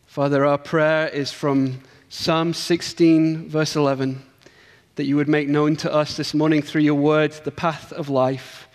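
English guy preaching, kinda high voice, echo from a big church hall. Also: little pitch movement, measured pace. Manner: earnest, rhythmic preaching with emphatic build-ups. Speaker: guest preacher. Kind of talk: sermon. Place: church sanctuary.

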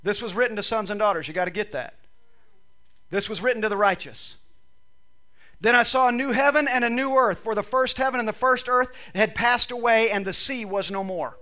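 A male preacher with a very high, bright and forceful voice, worn from losing it. He repeats his phrases with emphasis and reads scripture aloud in a sermon style at a church service. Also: a little fast. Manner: emphatic, repetitive preaching with call-and-response phrasing.

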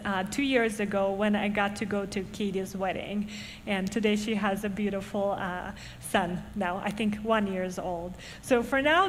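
Earnest woman in a church. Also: mid-range voice. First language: Russian. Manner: earnest, measured storytelling. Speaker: guest speaker. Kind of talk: church testimony and appeal. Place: church sanctuary. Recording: echoey hall, faint background noise.

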